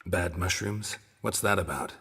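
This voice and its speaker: An actor playing a stoic, seasoned monster hunter in a video game, terse and deadpan. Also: male voice, low-pitched, a little slow, slightly rough, big-room sound, heavily acted. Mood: flat, curious. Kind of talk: video game character acting. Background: music.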